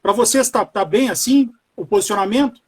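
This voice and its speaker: A man's voice: high-pitched, brisk, breathy edge. A man from Brazil hosting a live interview, asking polite, deferential questions. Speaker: host.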